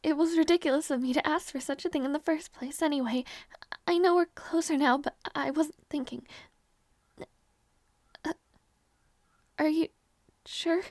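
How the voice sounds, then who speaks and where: A woman voicing a shy, flustered young princess who talks softly and hesitantly, often in a whisper and with stammers. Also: high voice, moderate pace, pauses often, no echo. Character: shy, flustered young princess waking beside her knight. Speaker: audio roleplay performer. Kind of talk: intimate audio roleplay.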